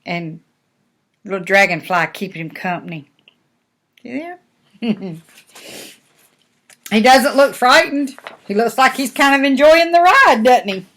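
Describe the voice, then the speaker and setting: An older woman, a grandmother, reading a picture book aloud to young children with gentle, folksy explanations and chatty asides. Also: on the high side, expressive, a little slow, pauses often.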